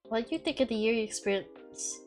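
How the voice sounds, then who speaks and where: A woman's voice: a little high, a little fast, faint music behind. A young woman hosting a casual podcast, blunt and giggly, swearing freely and rambling with restarts. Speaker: podcast host and streamer. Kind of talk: casual chat.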